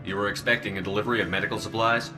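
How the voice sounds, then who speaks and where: Resolute game commander, male actor: deep register, measured, no-nonsense delivery. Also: fairly even intonation, over music, natural voice. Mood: stern. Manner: firm, measured, direct. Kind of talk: video game character acting.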